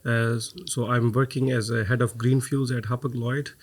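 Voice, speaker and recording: A man talks as a podcast guest with earnest conviction. He hesitates often and restarts his sentences. His pitch sits in the middle for a man. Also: level tone, normal speed. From Pakistan. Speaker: guest, head of green fuels. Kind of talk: podcast interview.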